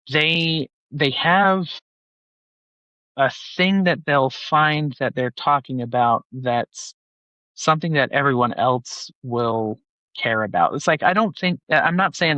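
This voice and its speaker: A man in his forties from Utah talks casually as a guest, with a bright, forceful voice that rambles through plenty of "like"s. The audio is dry, with no room sound, and slightly muffled and lo-fi. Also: mid-range voice, measured pace, no echo. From Utah, USA. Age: 40s. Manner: rambling, filler-heavy, many "like"s. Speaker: banker, guest. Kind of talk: casual interview chat.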